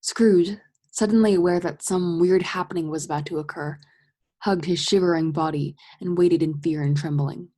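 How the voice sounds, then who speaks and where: A woman narrating a radio play as a scripted story, husky and measured with dramatic delivery. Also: on the low side, fairly even intonation, dark tone.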